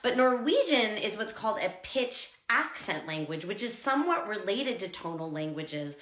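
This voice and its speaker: A woman's voice: medium pitch, very animated, normal speed, fairly bright tone, roomy sound. A chatty American woman and language teacher, explaining things casually and exaggerating sounds to demonstrate them. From United States. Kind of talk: casual talking-head explainer.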